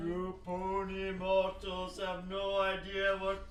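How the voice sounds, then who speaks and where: A man acts out a devil villain for a homemade comedy radio play. He gloats theatrically in a high register and drags every taunt out very slowly. The sound is roomy. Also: monotone, over music. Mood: gloating menace. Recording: roomy, far from the mic.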